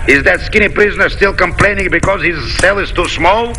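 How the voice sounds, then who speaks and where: An actor playing a gleefully wicked, boastful pirate captain in a hammy, gloating style of 1940s radio comedy, on an old broadcast recording. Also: male voice, sits high, a little fast, pushed and bright, soft background music. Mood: gloating menace.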